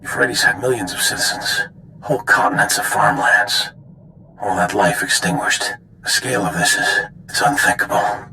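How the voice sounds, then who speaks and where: A man playing a grave, commanding general with a gravelly voice and terse authority in a game cutscene. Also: fairly low pitch, normal speed, pushed and bright, a little hiss or hum, full character voice, rough recording. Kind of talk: video game cutscene acting.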